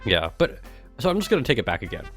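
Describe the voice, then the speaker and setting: A man's voice: mid-range voice, sing-song, quick pace, a bit bright, faint music behind. A man streaming casually, reading aloud and adding sarcastic, exasperated commentary, with swearing. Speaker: streamer and gaming guild member.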